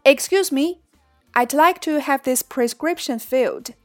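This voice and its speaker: A polite pharmacy customer, read out by a woman, speaks each line clearly and carefully in a high, bright tone. Soft music plays faintly underneath. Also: unhurried, dry recording. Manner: clear, careful textbook reading.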